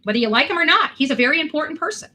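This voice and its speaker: A woman's voice: high voice, lively intonation, rapid-fire, sharp tone. A woman talking on her livestream in a rambling, proud and defensive way, repeating herself often.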